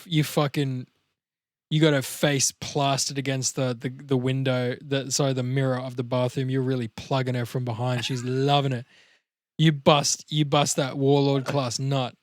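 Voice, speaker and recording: A man's voice: medium pitch, no room sound, clean studio sound. An Australian man hosting a podcast in casual, crude banter, blokey and swearing freely. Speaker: podcast host.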